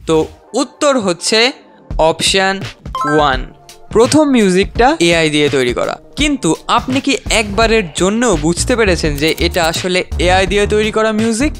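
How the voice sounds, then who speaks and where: A man's voice: high voice, lively intonation, a little slow, fairly bright tone. A man, presenting a scripted tech tutorial to viewers, close on a studio microphone. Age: 20s. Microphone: close studio boom microphone. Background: faint background music.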